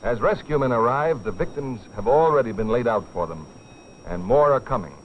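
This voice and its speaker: A man's voice: mid-range voice, lively intonation, brisk, slight background noise. A man delivering dramatic, solemn scripted narration for an educational film, with rhetorical weight. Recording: dull, dated film soundtrack with music.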